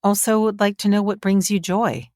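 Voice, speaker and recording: A woman's voice: medium pitch, level tone, moderate pace, darkish tone, dry recording, studio quality. A middle-aged woman hosting a casual interview in an effusive, encouraging way. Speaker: podcast host.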